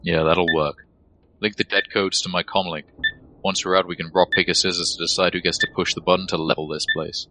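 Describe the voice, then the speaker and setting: An actor playing a commanding, level-headed mercenary team leader with a terse, authoritative delivery in an audio drama. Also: male voice, low voice, little pitch movement, fairly quick, bright, forceful tone, a little hiss or hum. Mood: measured.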